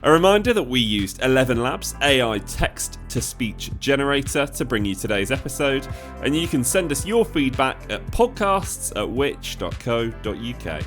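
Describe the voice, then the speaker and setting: Lively man reading a podcast outro. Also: medium pitch, moderate pace, soft background music. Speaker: podcast producer and host.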